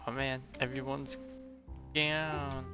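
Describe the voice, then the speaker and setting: A man's voice: medium pitch, little pitch movement, slow pace. A young man streaming a game, giving casual commentary and musing aloud. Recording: dull microphone, game music behind. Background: game music.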